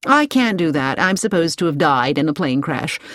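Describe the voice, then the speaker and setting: A woman voicing a brisk, secretive American woman traveler in a storybook, breezy and decisive with a hard edge. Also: low voice, sing-song, a bit bright, dry recording, studio quality. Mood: matter-of-fact.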